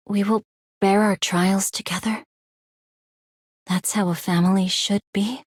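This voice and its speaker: Slow, husky, brooding woman. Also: mid-range voice, a little mellow, dry recording, studio quality. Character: guarded, brooding woman, troubled but loyal. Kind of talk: scripted game character voice line.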